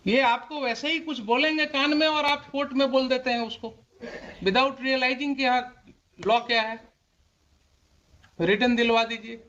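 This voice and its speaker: Indian man as presiding judge. Sharp, unusually high voice, admonishing, rhetorical, code-switching, over faint noise. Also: steady tone, measured pace, long pauses. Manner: stern, admonishing, rhetorical questions; switches between Hindi and English. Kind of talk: courtroom questioning and oral directions. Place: courtroom. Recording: muffled courtroom audio, faint noise, clicks.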